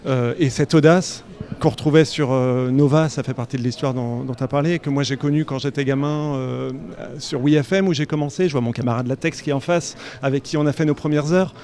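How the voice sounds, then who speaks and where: A man's voice: mid-range voice, steady tone, fairly quick, a little mellow. A man in his fifties, a public radio executive speaking on a conference panel, hesitant and wry with many restarts. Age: 50s.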